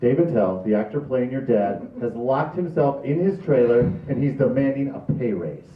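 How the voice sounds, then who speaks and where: A man's voice: mid-range voice, expressive, moderate pace, natural voice. A man playing a dutiful film production assistant reporting problems in an amateur script reading. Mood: concerned. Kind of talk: amateur script table read. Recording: tinny, echoey, distant mic.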